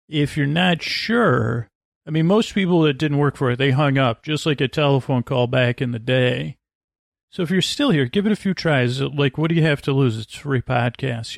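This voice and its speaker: A man hosting a sleep podcast in a rambling monologue, muttering and halting as he wanders off into tangents. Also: medium pitch, creaky, no room sound, clean studio sound. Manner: meandering, halting, frequently trailing off into tangents. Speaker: podcast host.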